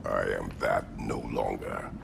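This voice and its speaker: Stoic greek war god for a game, super deep n gravelly, terse and solemn. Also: male voice, steady tone, takes it slowly, background noise, heavily acted. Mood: stern, solemn. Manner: terse, solemn, formal speech without contractions. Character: grim, stoic warrior god from Greece, former killer. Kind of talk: cinematic video game acting.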